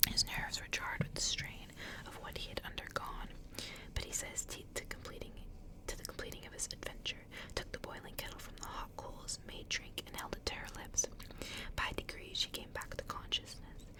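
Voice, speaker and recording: A woman reads horror fiction in an ASMR style. Her voice is an airy, breathy whisper with almost no voicing. The delivery is soft storytelling, with small stumbles over the words. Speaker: ASMR reader and channel host.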